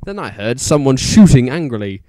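Animated man giving a theatrical reading. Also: medium pitch, a little fast, dry recording. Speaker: narrator reading fan fiction.

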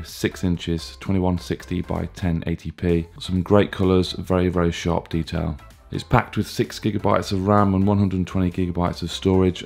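Very deep gravelly chesty man. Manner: relaxed, plain talk with frequent fillers and restarts. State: chesty, deep hoarse voice from a cold. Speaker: tech reviewer. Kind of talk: casual product review.

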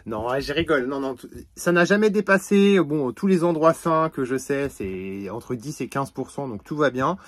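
A man's voice: medium pitch, animated, normal speed, no room sound. A man giving a casual, slangy product demonstration to his viewers, with a joking, informal way of talking. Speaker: camper van vlogger testing a product.

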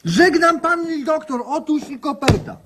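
A man's voice: very high-pitched, moderate pace, background noise. An actor playing a humble, put-upon male office clerk visiting a doctor in a radio comedy sketch. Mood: plaintive. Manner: humble, deferential, plaintive. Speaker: patient.

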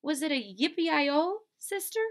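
Woman as a meek, high-voiced storybook sister. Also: big pitch swings, unhurried. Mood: meek, doubtful. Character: timid, dutiful, doubtful younger sister in a storybook.

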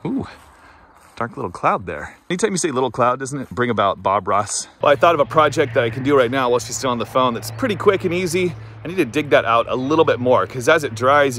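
A man's voice: mid-range voice, a bit bright, a little hiss or hum. A man in his thirties vlogging casually outdoors, making dry, self-mocking jokes into a phone held at arm's length. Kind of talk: casual vlog narration. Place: outdoors on a farm. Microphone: phone camera held at arm's length.

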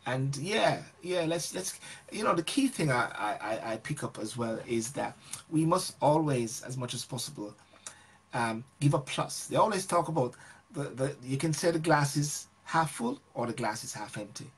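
A man's voice: medium pitch, expressive, normal speed, slightly rough. A man hosting a talk show over a video call, speaking hesitantly with frequent restarts and agreeable affirmations. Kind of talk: casual talk-show chat.

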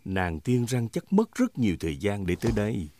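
A man's voice: lowish voice, huge pitch swings, fairly quick, dark tone, a little hiss or hum. A man narrating a children's cartoon as a friendly storyteller, over music. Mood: storytelling.

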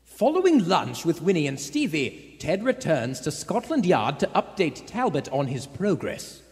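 Actor as knowing male narrator, swooping intonation, deliberate pauses, radio play, echoing hall with music. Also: fairly high pitch, very animated, darkish tone. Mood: wry. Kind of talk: scripted live radio narration. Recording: echoey theater hall with music.

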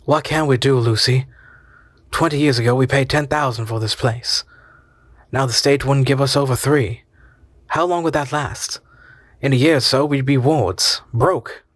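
A man's voice: mid-range voice, fairly even intonation, moderate pace, dry recording, natural voice. Folksy, soothing husband, voiced by the male narrator, dry and close.

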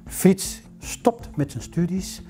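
Flemish man, fifties, lapel mic, slightly raised pitch, warm mellow tone, faint music behind. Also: lively intonation. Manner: measured, storytelling with filler "eh". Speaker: museum director. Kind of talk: documentary interview storytelling. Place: library room with bookshelves.

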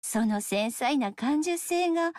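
A woman's voice: high-pitched, animated, takes it slowly, a little mellow, dry recording, clean studio sound. A woman voicing a cheerful young female dancer in a video game, speaking with a Kyoto accent in a lilting, teasing, friendly way. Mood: playful. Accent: Kyoto dialect.

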